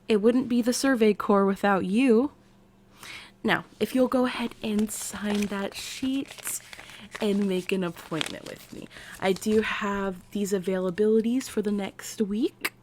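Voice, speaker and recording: A woman with an American accent playing an eccentric, eager military scientist acting as therapist in an intimate, chatty ASMR roleplay that dips into soft whispers. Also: fairly high pitch, lightly acted. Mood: amused, teasing, then brisk, flustered.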